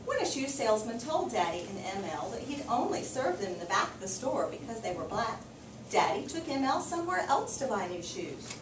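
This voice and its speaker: A woman's voice: on the high side, very animated, brisk. A woman teacher reading aloud and talking with a group of children. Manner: repeats and affirms listeners' answers. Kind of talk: storybook read-aloud and class discussion. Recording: echoey, noisy room, distant microphone.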